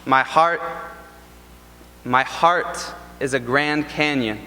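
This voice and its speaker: A young man performing spoken word poetry at an event, with a rhythmic, deliberate and impassioned delivery in a large ballroom. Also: medium pitch, pushed and bright. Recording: long reverb from a large ballroom.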